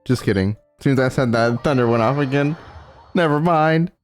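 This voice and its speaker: A woman's voice: rumbling low, a little fast, faint music behind. A woman giving casual, playful commentary while she plays a video game, in an offhand way. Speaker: gaming video host.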